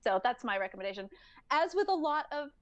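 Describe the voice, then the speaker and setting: A woman's voice: high-pitched, big pitch swings, a little hiss or hum. A woman co-hosting a travel podcast in casual chat, recommending shows with gushing enthusiasm. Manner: enthusiastic, gushing, quick to laugh. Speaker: podcast co-host.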